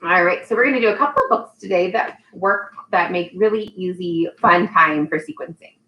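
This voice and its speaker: A woman's voice: fairly low pitch, fairly quick, pushed and bright, rough recording. A woman hosting a live storytime for young children, speaking in an encouraging, child-directed way that turns sing-song when she leads activities. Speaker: early childhood storytime host and teacher.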